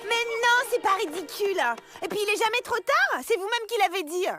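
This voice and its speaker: Fillette effrontée, voix très aiguë. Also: female voice, very high voice, brisk, sharp tone, faint music behind. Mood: encouraging, cheeky. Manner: eager, cheeky. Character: plucky, cheeky little outlaw girl. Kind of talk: animated cartoon acting.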